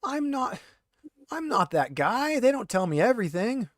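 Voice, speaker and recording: A man's voice: very high-pitched, sing-song, normal speed, no room sound, studio quality, voice pushed up. Man acting out a sputtering, defensive handcuffed prisoner. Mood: flustered, evasive. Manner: sputtering, indignant, stammering. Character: short balding insurgent prisoner, defensive and evasive.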